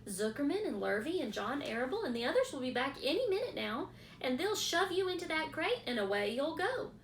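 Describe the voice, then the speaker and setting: A woman as a quiet, wise spider. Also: high-pitched, very animated, brisk, slight background noise, pitched above the performer's own voice. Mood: calm. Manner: quiet, soft, firm. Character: dying female spider, calm and wise. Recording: echoey room.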